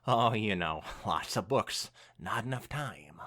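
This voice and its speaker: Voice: mid-range voice, lively intonation, slow, a bit bright, voice pushed down. A reader voicing a flustered, evasive female unicorn pony, a guilty bookworm who stalls and draws words out.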